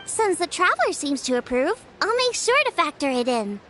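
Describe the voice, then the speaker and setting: A woman's voice: extremely high pitch, big pitch swings, normal speed, heavy background noise. A woman voicing an earnest, cheerful young girl cook in a video game, speaking politely and eagerly. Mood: won over.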